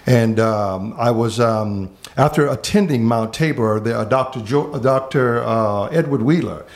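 A man who is a pastor from Miami, earnestly telling his life story in conversation, pausing often on fillers and repeated words. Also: medium pitch. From Miami, Florida. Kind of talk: reflective personal storytelling in conversation.

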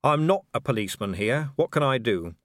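A man's voice: mid-range voice, expressive, fairly quick, fairly bright tone, no echo, studio quality, pitched above the performer's own voice, lightly acted. A man voicing a weary, sceptical policeman in an audiobook, blunt and wry as he questions. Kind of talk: audiobook character acting.

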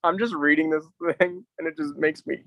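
A man's voice: a little high, fairly even intonation, a little fast, vocal fry, bright, forceful tone. A man co-hosting a podcast, chatting casually about films and games. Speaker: podcast co-host.

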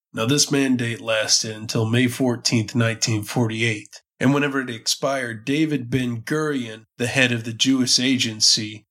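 A man hosts a scripted political podcast with a bright, forceful voice. Bronchitis has left him hoarse and a little nasal. He delivers the material earnestly and emphatically, like a lecture. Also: mid-range voice. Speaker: podcast host.

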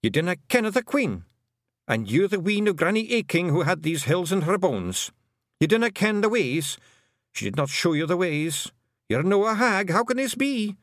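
A man voicing a small, fretful male clan chief with a broad Scottish accent, high, strained and pleading. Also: fairly bright tone, no room sound, clean studio sound. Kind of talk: audiobook character voice.

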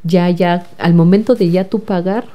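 A woman's voice: on the low side, fairly even intonation, normal speed, lo-fi sound. A young woman with a Mexican accent answering interview questions in a chatty way, full of fillers and repeated words. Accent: Mexican Spanish. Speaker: guest, recent engineering graduate.

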